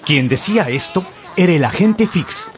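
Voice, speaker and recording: A man narrating a radio drama in Spanish with deliberate, suspenseful storytelling. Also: high-pitched, animated, slight background noise. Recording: music behind the voice.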